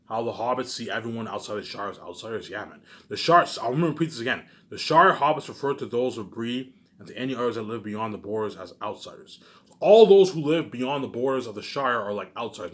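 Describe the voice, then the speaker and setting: Guy with a low voice reading a fantasy book out loud to his viewers, keeps stumbling and repeating himself, jumps into excited asides. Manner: stumbling, repeats words, breaks off with rhetorical questions; emphatic, animated asides. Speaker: video creator doing a book readalong. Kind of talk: casual reading aloud with commentary.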